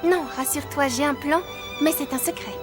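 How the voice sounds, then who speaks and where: A woman's voice: sits high, normal speed, lightly acted. A woman voicing an unhappy, frightened young orphan girl in a cartoon. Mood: sad, secretive. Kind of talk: French animated series dialogue. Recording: music behind the voice.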